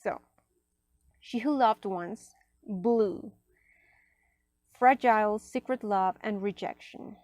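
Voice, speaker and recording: A woman whose first language is Spanish, casually reviewing an oracle deck at a table, chatty, with many fillers and soft near-whispered asides. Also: mid-range voice, slow pace, long pauses, dry recording, clean studio sound. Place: indoors at a table.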